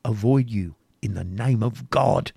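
A defiant young woman, as a male reader puts her on, speaking softly and solemnly in a low, gravelly voice. She is steadfast and grave. The storybook reading is close and dry. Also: measured pace, no echo, full character voice.

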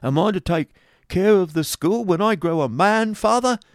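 A man puts on an excitable, spiteful small boy for a storybook. The voice is pitched well up and swoops about as he crows with eager glee. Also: normal speed, dry recording, clean studio sound. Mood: gleeful. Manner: eager, crowing, exclaiming. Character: gleefully cruel, excitable young schoolboy son.

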